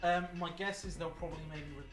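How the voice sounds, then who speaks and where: A man's voice: a little high, steady tone, quick pace, fairly bright tone, soft background music. A man co-commentating in casual, speculative chat, offering his points tentatively and in pieces.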